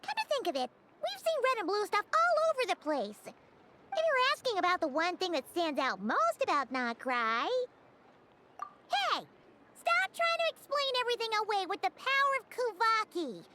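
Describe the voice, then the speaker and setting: A woman voicing a tiny, childlike, excitable floating companion in a video game, squeaky and exclamatory. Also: moderate pace, noisy background, full character voice. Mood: puzzled, sceptical. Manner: exclamatory, speaks of herself in third person. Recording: clean studio voice under loud music. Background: loud game music.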